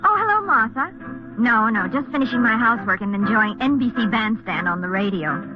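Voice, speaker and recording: A cheerful, chatty housewife played by a woman in a vintage radio promo. Her voice is high and leaps about as she gushes into the telephone. The old recording is slightly muffled, with music behind her. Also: expressive, brisk, close to an everyday voice. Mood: bubbly, gushing. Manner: gushing, chatty, exclaiming. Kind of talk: 1950s radio advertisement acting.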